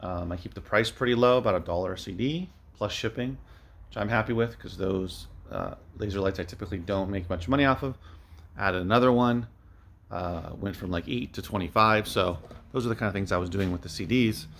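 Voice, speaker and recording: A man giving a casual, unscripted vlog update as an online reseller, rambling and thinking out loud. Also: lowish voice, fairly quick. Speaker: vlogger, online media reseller.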